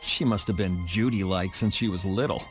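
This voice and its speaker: A man's voice: sits low, normal speed, faint music behind, close to an everyday voice. A man playing a frank young adventurer in a video game, casual and direct. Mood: musing.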